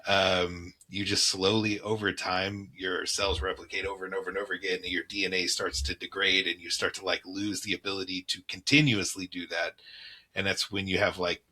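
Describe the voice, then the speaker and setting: A man's voice: deep voice, level tone, brisk, bright, forceful tone, poor audio. A man co-hosting a casual comedy podcast, explaining things in a loose, rambling way with frequent laughs. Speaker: podcast co-host. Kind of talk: casual chat. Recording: mild background keyboard clacking.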